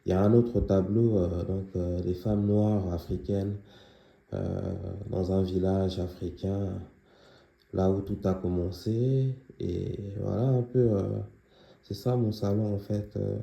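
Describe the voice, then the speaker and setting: A young man giving a casual tour of his apartment, pausing often with fillers as he walks around. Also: low-pitched, fairly even intonation, vocal fry, reverberant, dull audio. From Congolese heritage, living near Paris. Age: young adult. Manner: hesitant, many filler pauses. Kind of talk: casual home tour vlog. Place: small apartment indoors.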